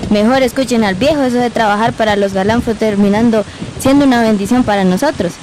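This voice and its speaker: A woman's voice: fairly high pitch, quick pace, noisy background, natural voice. A woman playing an old, plainspoken Colombian grandmother, a former housemaid telling her memories in an amateur radio drama. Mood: nostalgic storytelling. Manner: folksy rural storyteller speech.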